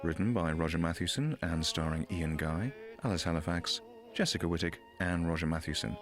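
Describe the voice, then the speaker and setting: A man's voice: sits low, brisk. A man reading scripted closing credits as a radio play announcer.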